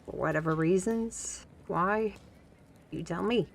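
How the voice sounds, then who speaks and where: An actress plays a young woman of a fantasy world. She takes her time, with a wry, guarded and gently teasing way of speaking. It is conversational ASMR roleplay, addressed right to the listener. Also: fairly low pitch, takes it slowly, vocal fry, slight background noise. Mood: wry, measured. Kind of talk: scripted roleplay audio acting, ASMR.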